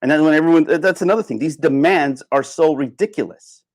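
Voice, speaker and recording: A man's voice: fairly high pitch, quick pace. A man giving an impromptu opinion monologue as a livestream host, emphatic and repetitive, often restarting his sentences. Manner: emphatic, rhetorical questions.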